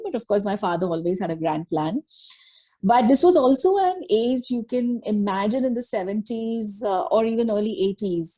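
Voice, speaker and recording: A woman in her forties from Kerala, a podcast guest telling childhood stories in a relaxed, halting way with many fillers. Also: mid-range voice. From Kerala, India. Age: 40s. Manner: hesitant, many fillers and restarts. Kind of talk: conversational storytelling.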